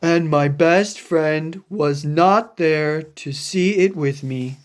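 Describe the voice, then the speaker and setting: Woman putting on an emotional storybook elephant, very slow. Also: deep voice, voice pushed down. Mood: dramatic, regretful. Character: big, emotional, needy male elephant, devoted friend. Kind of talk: children's picture book read-aloud.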